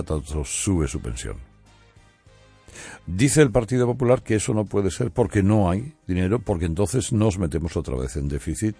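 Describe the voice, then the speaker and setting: Deep lively morning news host. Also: male voice, husky. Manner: conversational, addresses listeners directly. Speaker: radio morning show host. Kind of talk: opinionated news commentary.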